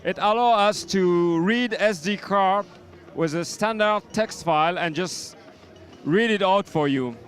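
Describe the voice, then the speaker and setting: High-pitched man demonstrating synth gear. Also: measured pace. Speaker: synth module maker demonstrating his product. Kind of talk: informal product demonstration. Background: electronic synth sounds and beats.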